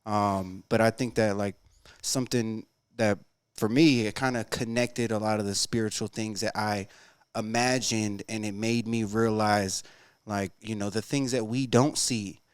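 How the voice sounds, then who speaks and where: A man's voice: fairly low pitch, fairly even intonation, measured pace, smooth, no room sound, clean studio sound. A man co-hosting a podcast, laid-back and rambling as he chats. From lives in Colorado. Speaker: podcast co-host and audio person. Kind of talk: casual podcast chat. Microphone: podcast studio mic.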